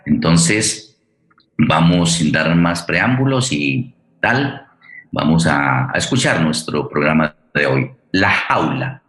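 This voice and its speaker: A man hosting a radio programme, introducing guest and programme in a wordy, courteous, halting way. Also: a bass voice, animated, fairly bright tone. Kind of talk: unscripted, welcoming introduction of a guest and play.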